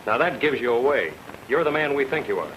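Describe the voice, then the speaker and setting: Man playing brisk, tough radio lawman, noisy recording. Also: mid-range voice, lively intonation, a bit bright, natural voice. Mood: gruff, skeptical. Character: tough, suspicious male FBI agent, curt and accusing. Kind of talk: film noir radio drama acting.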